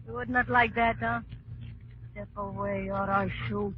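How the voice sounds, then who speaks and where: A woman playing a vengeful, grieving young native woman in a 1940s radio drama, menacing and deliberate in clipped broken English. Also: mid-range voice, natural voice. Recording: muffled, noisy 1940s radio recording.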